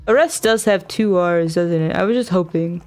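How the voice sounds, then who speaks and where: A woman's voice: on the low side, moderate pace, darkish tone, soft background music. A woman giving casual live commentary as a game streamer, exasperated and prone to mild swearing.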